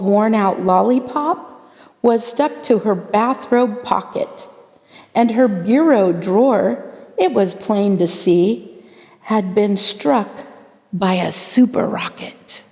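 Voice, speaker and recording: A woman reading a rhyming children's story aloud in an expressive, theatrical way, drawing out words for emphasis. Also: on the high side. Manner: theatrical, deliberate phrasing with drawn-out emphasis for children. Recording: long hall-like reverb.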